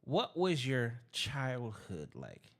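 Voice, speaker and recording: A male podcast host talks slowly and deliberately, his pitch moving a lot as he asks questions. He links the guest's answers to his own years of performing music for crowds. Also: wildly swooping pitch. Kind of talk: interview host.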